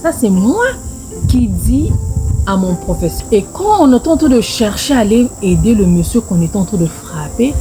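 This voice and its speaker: A woman's voice: medium pitch, big pitch swings, moderate pace, music in the background. A middle-aged woman with a Congolese French accent tells a personal story casually, repeating phrases for emphasis. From Congo-Brazzaville. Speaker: video storyteller.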